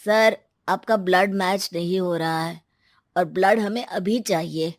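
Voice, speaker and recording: A woman's voice: on the low side, unhurried, no room sound, clean studio sound. A woman voicing a sober male hospital doctor in a family drama, grave and clinical.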